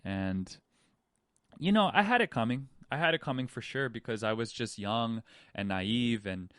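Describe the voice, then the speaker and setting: A man's voice: medium pitch, animated, moderate pace, a bit bright, smooth, no echo, clean studio sound. A man, hosting a podcast and talking candidly in a rambling monologue full of restarts. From Hawaii. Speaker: podcast host. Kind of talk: reflective personal monologue.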